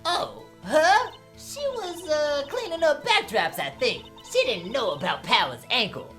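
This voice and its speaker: A woman's voice: high-pitched, big pitch swings, a little slow, a bit bright, faint music behind, heavily acted. A woman voicing a feisty, nosy elderly female security guard in an amateur game dub, with a folksy, scolding manner. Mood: chatty, coy. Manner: folksy, cantankerous, rambling scolding.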